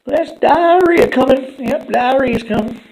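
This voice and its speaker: Man doing shrill squeaky poop creatures. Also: unhurried, a little mellow, low-quality recording. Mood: panicked. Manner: shrill, taunting, cursing. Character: squeaky little crude poop-and-fart creatures.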